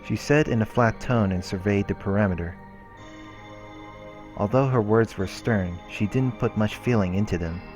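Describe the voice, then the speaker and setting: A man with a deep voice reads visual novel narration aloud as a let's play host. His delivery is measured, with soft game music behind him. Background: game background music.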